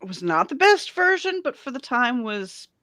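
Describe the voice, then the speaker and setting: A woman's voice: a little high, sing-song, normal speed, bright, forceful tone, no echo, clean studio sound. A woman hosting a casual geek culture panel chat, informal and given to repeating her phrases for emphasis.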